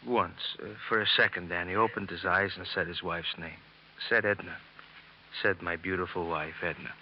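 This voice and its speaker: Low, solemn radio-drama doctor. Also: male voice, steady tone, moderate pace. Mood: grave, sympathetic. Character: grave, kindly male police doctor, awestruck and sympathetic. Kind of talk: 1950s radio drama acting. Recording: muffled, slightly noisy old radio recording.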